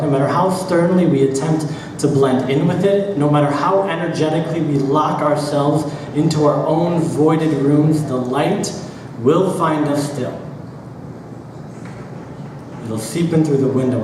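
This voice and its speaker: Guy doing a dramatic story reading, slow pauses, really echoey big room, mic far away. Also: fairly high pitch. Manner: theatrical, deliberate, with drawn-out pauses and rhythmic repetition. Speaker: author reading own work aloud. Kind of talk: dramatic literary reading of first-person prose. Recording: very echoey hall, distant mic, background noise.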